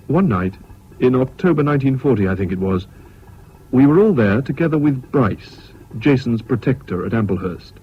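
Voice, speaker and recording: An actor playing a sober Englishman who narrates his wartime memories in measured radio drama style. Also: medium pitch, close to an everyday voice. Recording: dull, slightly hissy old radio recording.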